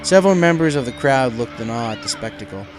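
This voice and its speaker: A man's voice: medium pitch, animated, quick pace, a little hiss or hum. A man reading a story aloud as dramatic scripted narration. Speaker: fan fiction reader. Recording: music under the voice.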